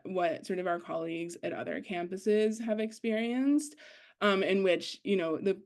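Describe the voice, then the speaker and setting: A woman's voice: fairly low pitch, darkish tone. A young woman, a student organizer, speaking earnestly on an online panel, with many fillers and restarts. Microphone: video call.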